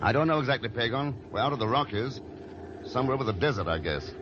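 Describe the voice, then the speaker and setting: An actor playing a shrewd, courteous secret agent in vintage radio drama, with a dry, understated manner. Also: male voice, mid-range voice, lively intonation, fast talker, lightly acted. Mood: politely wry. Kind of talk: 1940s radio acting. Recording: dull, noisy old radio recording.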